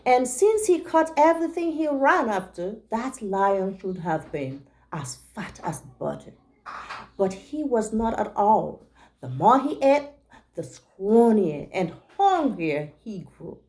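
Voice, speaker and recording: A woman's voice: mid-range voice, darkish tone. A woman reading a storybook aloud to young children like a teacher, with simple asides to them.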